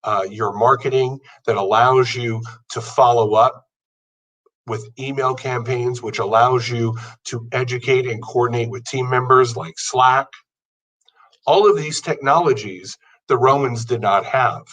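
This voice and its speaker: A man's voice: mid-range voice, measured pace, a bit bright, far from the mic, low-quality recording. A man in his fifties giving an earnest motivational talk, building his points through long lists. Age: 50s. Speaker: podcast host. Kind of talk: motivational monologue.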